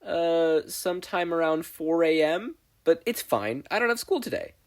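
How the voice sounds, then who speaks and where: A man's voice: a little high, lively intonation, moderate pace, voice pushed up, natural voice. A man voicing an eager, overworked teenage boy who protests breezily in a startled, drowsy way, in a story reading. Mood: dismissive, defensive.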